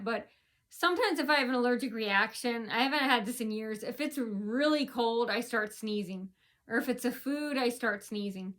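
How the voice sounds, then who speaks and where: A woman's voice: on the high side, a bit bright. A woman vlogger talking straight to her viewers in a long, rambling monologue, full of fillers and restarts.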